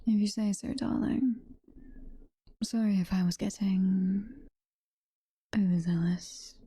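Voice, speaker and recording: A woman's voice: mid-range voice, steady tone, dark tone, husky, no echo. A British woman playing a doting, possessive demon girlfriend in an intimate ASMR roleplay, whispering and cooing in a motherly way. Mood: apologetic, tender. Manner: whispered, slow, intimate cooing with pet names. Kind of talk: soft-spoken ASMR roleplay.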